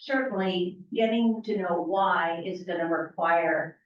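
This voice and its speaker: A woman anthropologist in the audience making a comment, speaking deliberately and stepping through a list of words one at a time. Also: on the low side, slight background noise. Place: lecture hall audience. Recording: distant, dull, echoey hall pickup.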